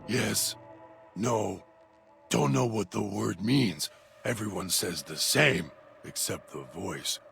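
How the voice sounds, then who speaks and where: Brief: actor as a menacing dog-creature, male, speaking slow and clipped in the third person, over game music. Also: fairly low pitch, a bit bright, exaggerated voice. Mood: brooding. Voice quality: gravelly. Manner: clipped broken speech about himself in third person. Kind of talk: game character monologue. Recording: music playing underneath.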